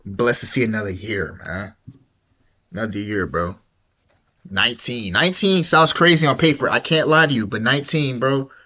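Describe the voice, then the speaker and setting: A young man chats to his stream in loose, slangy talk, repeating himself and drawling his words. His voice sits at an ordinary middle pitch. The audio is dull and a little lo-fi. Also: normal speed, pushed and bright. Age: young adult. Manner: slangy and repetitive, drawling and mumbly. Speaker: streamer reacting to a video. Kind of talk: casual livestream reaction chat.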